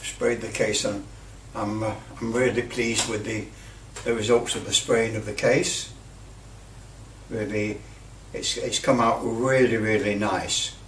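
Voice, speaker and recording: A man giving a casual hands-on commentary as a hobbyist, halting and muttering a little to himself as he works. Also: fairly low pitch, steady tone, fairly bright tone. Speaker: hobbyist presenter. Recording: roomy, distant mic with light noise.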